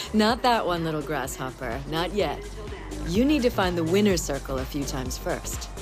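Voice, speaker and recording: Confident racing boss, lively delivery, music behind. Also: female voice, fairly low pitch, unhurried. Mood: encouraging, teasing. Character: confident, exacting female racing team boss. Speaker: team boss and mentor. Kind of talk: scripted video game cutscene acting.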